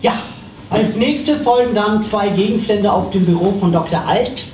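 A man playing a brisk auctioneer in a live comedy audio play, chanting bids and drawing out his calls. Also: high-pitched, lightly acted. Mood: brisk, dry. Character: brisk theatrical male auctioneer selling off a practice. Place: small theatre stage, indoors. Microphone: stage microphone, recorded by far camera. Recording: noisy, echoey, distant live stage recording.

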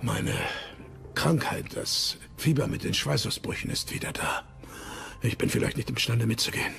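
Gravelly cautious Dutch admiral. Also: male voice, medium pitch, normal speed, fairly bright tone. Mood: weary. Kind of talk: dramatised history documentary dialogue.

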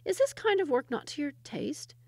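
A sly, knowing shepherd boy, as a woman plays him, laying out strange laws with a teasing air. The voice is high and dry, close to the mic. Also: very animated, normal speed, warm tone, no room sound.

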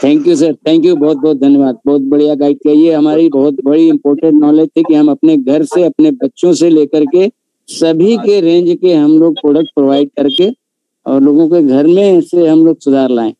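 A man's voice: a little high, steady tone, moderate pace, dark tone, no echo. A man politely asking questions at length as a participant on an online call. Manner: wordy, courteous. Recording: muffled, dull online-call audio.

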